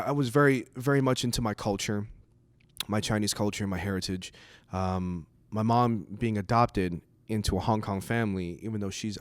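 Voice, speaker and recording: Casual guy comedian from the us, cantonese first language, lowish voice, rambly and swears, dry sound. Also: no room sound. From United States. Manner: casual, profane. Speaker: stand-up comedian.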